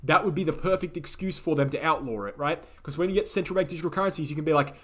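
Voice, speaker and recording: Man, fairly high voice, casual livestream host, opinionated, repeats himself for emphasis, slightly croaky. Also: racing, a bit bright. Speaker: host answering viewer questions.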